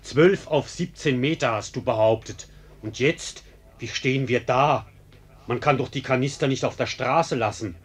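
An actor playing a falsely polite, mock-reasonable arsonist in a German radio play, with an ingratiating manner. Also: male voice, medium pitch, moderate pace, breathy edge, close to an everyday voice. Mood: mock reproachful.

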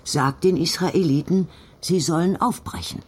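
A man's voice: on the high side, a little slow, breathy edge. An actor playing a devout, firm old village grandmother, speaking emphatically in an audio drama. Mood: solemn, dramatic. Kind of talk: audio drama dialogue and Bible reading.

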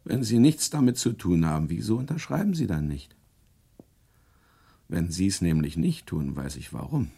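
An actor playing a relentless, cunning prosecutor with a gravelly voice in a German radio play, pressing a suspect insistently. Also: male voice, deep voice, sing-song, normal speed, warm tone, heavily acted. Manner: insistent, interrogating.

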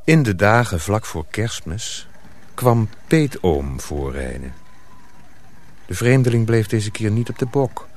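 A male narrator tells the story in a radio play. His voice sits a little low. The delivery is scripted narration between dramatic scenes. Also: sing-song, slow pace, darkish tone.

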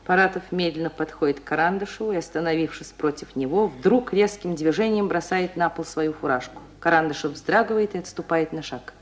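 A woman's voice: low-pitched, a little fast, hardly any pauses. A woman narrator reading scripted stage directions for a radio drama.

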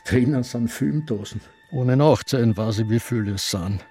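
An actor playing a confused, reminiscing elderly man with an Austrian accent, in a halting radio drama monologue. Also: mid-range voice, warm tone, slightly rough. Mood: wistful, confused. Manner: halting, ruminative.

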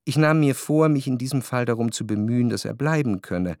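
An actor playing an introspective, wryly analytical narrator in a historical radio play, speaking in a measured, articulate, reflective way. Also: male voice, mid-range voice, darkish tone, dry recording, natural voice.

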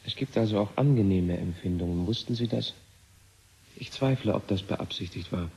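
An actor playing a defiant, provocative scientist in a German radio play, taunting, insistent and given to lecturing. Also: male voice, fairly low pitch, moderate pace, a little mellow, husky, poor audio. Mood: probing, soft. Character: provocative, defiant male scientist, needling and probing.

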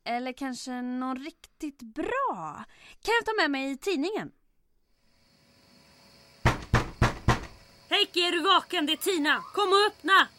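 A woman playing a spirited, quick-witted heroine in an old-time radio drama, with a wry, exasperated and expressive delivery. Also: high voice, a little hiss or hum. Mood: urgent, calling.

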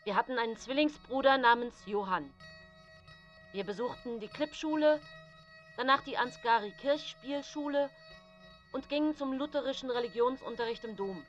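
Actress playing haunted woman prisoner, radio play monologue, confiding, deliberate, calculating, self-justifying, sometimes whispered. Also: on the high side, highs cut off, faint music behind, lightly acted. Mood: composed, formal.

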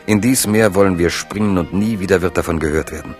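An extremely deep-voiced narrator played by a man of a literary radio play. He is solemn and lyrical, with some violin music behind him. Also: fast, a little mellow, natural voice. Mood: rapt, solemn.

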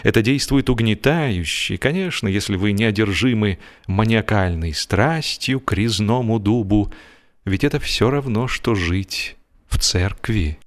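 A man narrating comic literature as an audiobook performer, in an expressive, mock-serious way, drawing out the ends of his phrases. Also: lowish voice. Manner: expressive, wry mock-serious delivery with drawn-out phrase endings.

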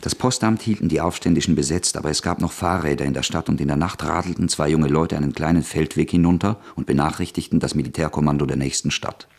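A man narrating a radio drama in a calm, measured way. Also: rumbling low, vocal fry.

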